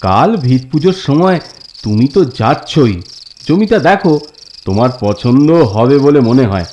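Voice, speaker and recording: An actor playing an eager, bossy middle-aged village husband in a cartoon, with an excitable way of repeating his phrases. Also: mid-range voice, normal speed, muffled recording, slight background noise, close to an everyday voice. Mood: reassuring, pleased. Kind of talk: cartoon voice acting.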